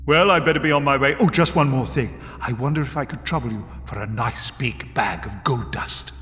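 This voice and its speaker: Eccentric British male hero, acted by a man, breezy, courteous, wry, persuasive, mid pitch, reverberant, audiobook. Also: fairly quick, fairly bright tone, lightly acted. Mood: breezy, brisk. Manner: breezy, polite, wry British turns of phrase. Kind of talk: dramatised audiobook reading.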